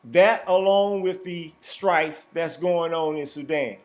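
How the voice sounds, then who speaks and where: A man hosting a livestream panel, leading the guest with slow, halting questions. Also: a little high, a crowd in the background. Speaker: panel host. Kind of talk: casual panel chat on a livestream.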